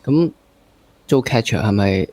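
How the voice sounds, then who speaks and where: A man with a Hong Kong Cantonese accent interviewing casually, summing up and gently challenging with leading questions. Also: lowish voice, expressive, unhurried. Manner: probing, summing up and playfully challenging the guest. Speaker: podcast interviewer.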